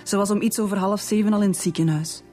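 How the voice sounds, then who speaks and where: A woman's voice: medium pitch, fairly even intonation, fast talker, mellow, close to an everyday voice. A woman with a Flemish accent playing a distressed, insistent middle-aged murder suspect in a radio drama. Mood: defensive, indignant. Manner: emotional, insistent. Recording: music behind the voice.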